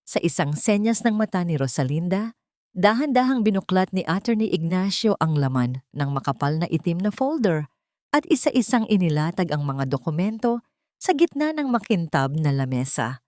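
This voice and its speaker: A woman's voice: on the low side, darkish tone, no room sound, studio quality. A woman reading a scripted, dramatic story as its narrator.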